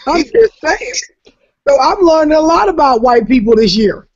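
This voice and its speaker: Raspy, brash woman with a high voice. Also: very animated, moderate pace. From Jacksonville, Florida. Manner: brash, profane storytelling with loud laughter. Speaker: comedian guest. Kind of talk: casual chat. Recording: dull lo-fi podcast audio.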